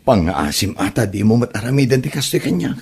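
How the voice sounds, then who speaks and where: A man's voice: on the low side, big pitch swings, brisk, a little mellow, breathy edge, exaggerated voice. A man with an Ilocano Filipino accent playing an evasive freeloading boyfriend in a comedy audio drama. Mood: pleading.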